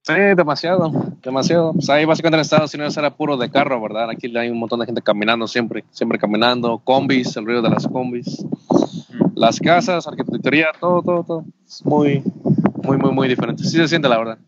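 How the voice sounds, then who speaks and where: A man's voice: medium pitch, steady tone, a little fast, lo-fi sound, very noisy. A young man, a guest in a casual interview, who now and then hunts for a word and slips into English. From Mexico. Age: young adult. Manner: searches for words, slips into English terms. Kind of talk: casual conversation.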